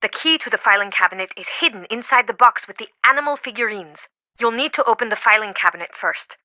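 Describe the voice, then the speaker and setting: An actress playing an earnest, overwhelmed museum curator in scripted video game dialogue. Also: female voice, a little high, expressive, fast talker, bright, forceful tone, dry recording. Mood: instructive. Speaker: video game character voice actor.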